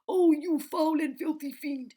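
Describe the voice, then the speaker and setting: A woman voicing a big, indignant storybook elephant who scolds loudly in outrage. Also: high voice, a little slow, voice pushed up. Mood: furious scolding.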